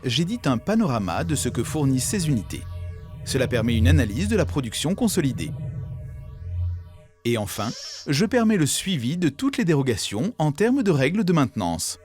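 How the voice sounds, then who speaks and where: Man playing an animated, helpful cartoon software mascot. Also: mid-range voice, moderate pace, faint music behind, close to an everyday voice. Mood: eager, helpful. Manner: upbeat, presentational. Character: eager, helpful, self-assured four-armed male software mascot. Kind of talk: scripted explainer in an educational cartoon.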